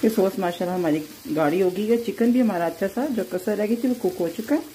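A woman hosting a cooking channel, giving calm, plain step-by-step recipe instructions over her cooking. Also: mid-range voice, fairly quick, slight background noise.

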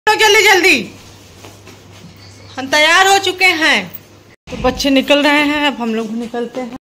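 A woman's voice: high voice, normal speed, bright, forceful tone, a little hiss or hum. A woman in her thirties chattily narrating her family's outing for a home vlog, filmed on a phone camera. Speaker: family vlogger. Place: home, then a large restaurant hall.

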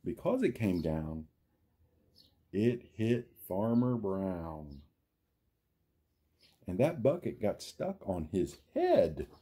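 Very animated, slow-reading male children's storyteller. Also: on the low side, slightly rough. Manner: theatrical, with drawn-out words and dramatic pauses; addresses children directly, schoolteacher-like. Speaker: storyteller, reader to young children. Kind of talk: animated children's picture book read-aloud.

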